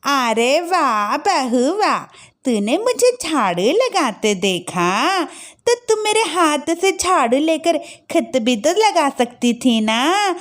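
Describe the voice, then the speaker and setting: A woman's voice: sits high, heavily acted. Woman acting a drawling, dramatic village mother-in-law. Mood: sarcastic complaint. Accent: rural North Indian Hindi. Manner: drawn-out, wailing exclamations and folksy grumbling. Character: dramatic rustic village mother-in-law, complaining and easily amazed. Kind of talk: moral-story cartoon voice acting.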